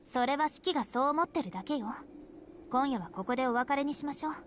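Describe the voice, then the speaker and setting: A woman's voice: high voice, very fast, a bit bright, slight background noise. An actress playing a cheerful, playful young female vampire in a Japanese visual novel.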